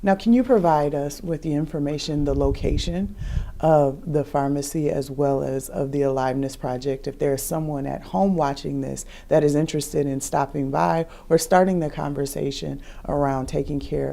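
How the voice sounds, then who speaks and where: A woman's voice: deep voice, fairly even intonation, normal speed, vocal fry, dark tone. A woman hosting an interview, asking questions and responding to the guest. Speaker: host, interviewer.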